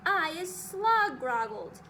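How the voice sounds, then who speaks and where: A woman voicing brutish, panicked man-eating giants, shouting ungrammatical nonsense words in a children's storybook reading. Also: extremely high pitch, sing-song, takes it slowly, slight background noise, voice pushed up, full character voice. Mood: furious, bellowing.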